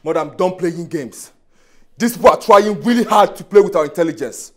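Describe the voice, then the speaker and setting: An actor playing an arrogant, hot-headed young prince who shouts defiant threats. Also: male voice, high voice, brisk, natural voice.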